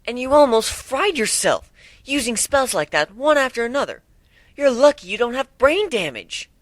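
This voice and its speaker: A man's voice: very high voice, very animated, normal speed, a bit bright, no room sound, pitched above the performer's own voice, exaggerated voice. A man voicing a studious, earnest young female pony magician who explains and lectures, in a cartoon fan story. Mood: scolding.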